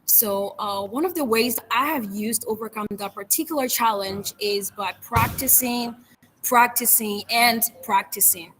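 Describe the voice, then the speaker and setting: A woman's voice: fairly high pitch, a bit bright, faint music behind, poor audio. Earnest young Liberian woman speaker. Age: young adult. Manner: earnest, measured, structured points. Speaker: guest, public speaker. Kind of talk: interview answers.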